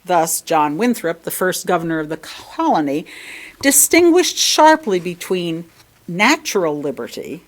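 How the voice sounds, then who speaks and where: A woman reading a sermon aloud, deliberately, with emphatic stress on key words. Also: medium pitch. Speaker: lay reader delivering a sermon at a church. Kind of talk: read-aloud sermon with brief asides.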